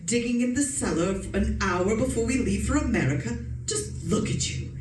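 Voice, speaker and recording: A woman's voice: a little high, expressive, normal speed, natural voice. A woman acting an imperious, nagging society wife in a radio suspense play, scolding and sure of herself. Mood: bossy, suspicious. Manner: bossy, scolding, haughty. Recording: echoey room, distant mic, noisy background.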